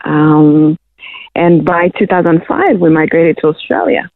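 Woman from Zimbabwe, guest singer, halting speech full of ums, phone-quality line, dry sound. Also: sits low, steady tone, no room sound. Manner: hesitant, many ums. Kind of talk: casual interview.

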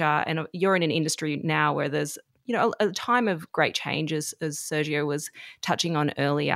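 A woman's voice: sits low, creaky, no echo, clean studio sound. A woman hosting a podcast interview, listening with frequent murmured agreement and asking open questions. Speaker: podcast host.

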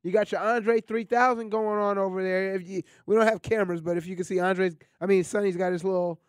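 A man hosting a sports radio show in a studio, chatty and energetic, his voice scratchy and nasal from a cold. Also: high-pitched, a little fast, clean tone, no room sound. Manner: energetic, chatty, lots of shout-outs. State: congested, scratchy voice. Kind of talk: casual sports radio talk.

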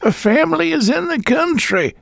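A man puts on a deaf old landlady in this storybook reading, her voice pushed high and thin, slow and evasive. She sounds dry and close, as if the listener stood at her door. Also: animated, vocal fry, a bit bright, dry recording, heavily acted. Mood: curt.

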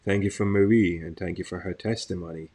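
A man's voice: sits low, steady tone, fairly quick, darkish tone. A man co-hosting a faith interview show, welcoming a guest and leading a prayer, with many hesitations and repeated welcomes.

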